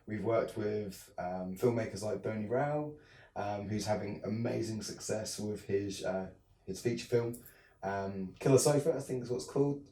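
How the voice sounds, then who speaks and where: A man's voice: lowish voice, normal speed, mellow. A young adult man from England, a filmmaker guest in a casual interview, talking hesitantly with many fillers and restarts. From southern England. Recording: roomy, distant mic.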